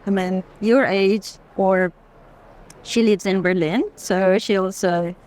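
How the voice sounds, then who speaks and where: A woman's voice: mid-range voice, fairly even intonation, slow, darkish tone. Woman thoughtfully explaining art on a walk. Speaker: art curator guiding a walk through a fair. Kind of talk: unscripted walking conversation about art. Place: busy art fair hall, walking.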